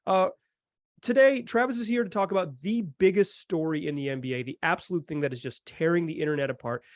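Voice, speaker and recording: A man's voice: fairly high pitch, a little fast, no echo. An American man hosting a basketball podcast in a conversational style. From North Carolina, United States. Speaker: sports podcast host.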